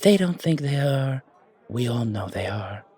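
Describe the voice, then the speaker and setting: A man playing an elegant, wry fantasy coffee shop owner in an audio roleplay, with deliberate, formal, theatrical phrasing. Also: mid-range voice, expressive, dark tone, husky. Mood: warm, confiding.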